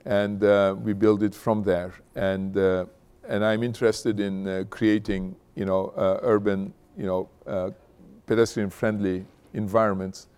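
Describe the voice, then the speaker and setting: A middle-aged man from Turkey, speaking informally to an audience as a guest speaker in a halting, conversational way, often adding "you know". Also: fairly low pitch, flat delivery, a little mellow, clear, resonant tone. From Istanbul, Turkey. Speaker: company founder, guest speaker. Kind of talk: informal autobiographical talk to an audience.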